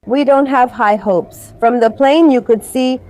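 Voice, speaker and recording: A woman travel vlogger explains things at a thoughtful, easy pace, with a soft, mellow tone. Her nose is stuffy and her throat is sore. She is recorded close on a noise-cancelling clip-on mic at an airport. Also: a little high, unhurried, poor audio. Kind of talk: casual travel vlog chat. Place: busy airport terminal. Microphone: wireless clip-on mic with noise cancelling.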